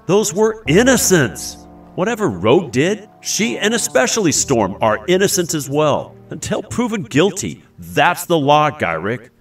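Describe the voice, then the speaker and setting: An actor playing a principled, earnest man with a husky voice in an audio drama. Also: fairly high pitch, sing-song, a little slow, soft background music. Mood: righteous indignation. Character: principled, earnest adult male inventor, indignant yet caring.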